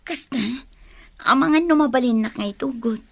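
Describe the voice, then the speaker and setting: Actress playing a meek, husky lovesick young woman, Ilocano. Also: sits high, moderate pace, fairly bright tone, dry recording. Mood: hurt, questioning. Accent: Ilocano Filipino. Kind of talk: Ilocano radio drama acting.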